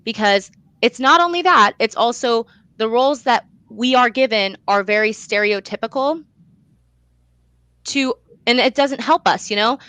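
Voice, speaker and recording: Bright, clear-voiced young woman in conversation. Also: on the high side, a little slow, no room sound. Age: young adult. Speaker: guest, student actor and playwright. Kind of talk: conversational interview answer.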